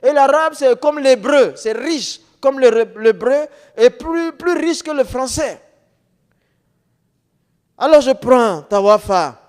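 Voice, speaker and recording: High-voiced man preaching in a reverberant hall. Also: smooth. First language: non-French mother tongue. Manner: emphatic, repetitive, rhetorical questions. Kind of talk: testimony preaching to an audience.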